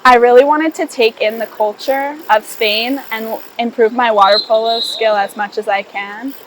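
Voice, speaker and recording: A woman's voice: on the high side, steady tone, moderate pace, a little hiss or hum, poor audio. A sixteen-year-old woman from Connecticut, walking through events in an orderly, step-by-step way. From Connecticut, USA. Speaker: student athlete. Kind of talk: casual testimonial interview.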